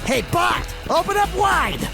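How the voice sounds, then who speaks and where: A man's voice: very high-pitched, sing-song, unhurried, gravelly, over loud music, heavily acted. A man playing a brash, mischievous little cartoon goon with a squawky voice and a boisterous, taunting manner. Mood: gleeful, taunting. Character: brash, cocky small male cartoon goon, gleefully mischievous.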